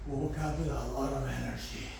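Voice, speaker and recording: A man's voice: mid-range voice, normal speed, creaky, heavy reverb, recorded from a distance, background noise. A man with a congested, blocked-nose voice, coaching a home garage workout and cheering viewers through their reps. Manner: energetic, encouraging, counting reps. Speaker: fitness coach. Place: garage gym.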